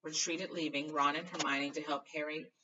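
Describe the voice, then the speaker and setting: A woman's voice: low voice, fairly even intonation, normal speed, far from the mic, lo-fi sound, background noise. A woman reading a children's novel aloud expressively, sometimes stumbling and correcting herself. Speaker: storybook reader.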